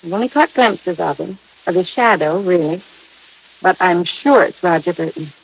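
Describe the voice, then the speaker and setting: A woman's voice: on the low side, lively intonation, moderate pace, vocal fry, husky, heavily acted. An American actress playing an anxious, coy, earnest young woman client in a 1950s radio drama. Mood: anxious, urgent. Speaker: actress in a radio detective series. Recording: muffled old radio recording.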